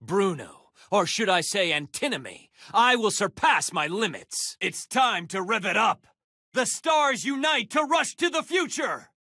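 A man's voice: sits high, sing-song, bright, forceful tone, dry recording, studio quality, exaggerated voice. An actor playing a heroic, determined young male card duelist in an anime video game, with intense, dramatic declarations. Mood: resolute. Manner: intense, dramatic declarations, drawn-out attack calls.

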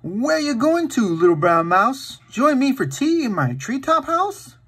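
A fluttery, genteel storybook owl, put on by a man, very high and hooting. It exclaims in wide swoops and sounds easily startled. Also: sing-song, a little slow, a bit bright, husky, full character voice. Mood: inviting.

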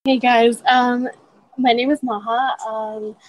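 A woman's voice: a little high, little pitch movement, slow, rough recording. A woman in her early twenties from Canada introducing herself casually, hesitant and with fillers. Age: 20s. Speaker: podcast guest. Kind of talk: self-introduction.

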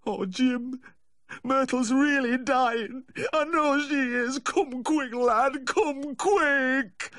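A man's voice: sits high, normal speed, fairly bright tone, dry recording, studio quality, voice pushed up. A man voicing a maudlin, panicky, drunken Yorkshire dog owner in a storybook, with a tearful wail.

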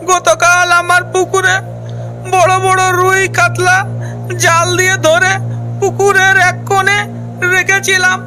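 A tearful, pleading village fisherman put on by a narrator in a cartoon folk tale. The voice is very high and wailing, over clear music. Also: pushed and bright, heavily acted. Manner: lamenting, wailing.

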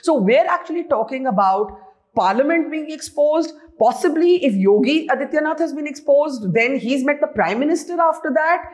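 A woman's voice: high voice, animated, normal speed. A middle-aged woman interviewing a guest from a book-lined room, asking pointed, probing questions. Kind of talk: news interview.

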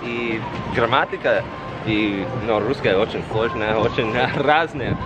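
A man's voice: lowish voice, low-quality recording. Young american guy, english native, stumbling through russian, music playing behind. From United States. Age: young adult. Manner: halting, searching for words. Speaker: guest, language learner. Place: city street outdoors, then a restaurant.

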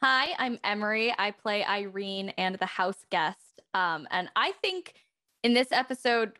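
Young woman with very animated intonation. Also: medium pitch. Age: young adult. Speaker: voice actor, panel guest. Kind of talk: casual panel chat. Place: room at home, video call.

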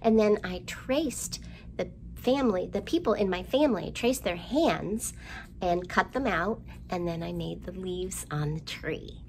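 A woman's voice: fairly low pitch, measured pace, darkish tone, slight background noise. A middle-aged woman hosting a children's storytime indoors, talking simply to young children with questions and exaggerated delivery. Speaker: children's librarian, storytime host. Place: indoor room, seen at a storytime backdrop.

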